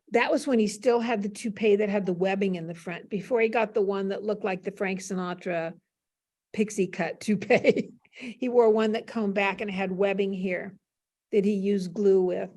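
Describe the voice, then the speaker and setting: An older American woman, a panel guest, telling casual stories in an animated way and acting out remembered dialogue. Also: mid-range voice, measured pace. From United States. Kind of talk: casual anecdotal storytelling.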